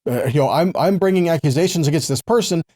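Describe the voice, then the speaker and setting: A man's voice: fairly high pitch, quick pace, warm tone, no room sound, clean studio sound. A man giving a conversational Bible teaching, reading and explaining scripture in an explanatory way. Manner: explanatory, with short check-in questions to listeners. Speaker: religious teacher.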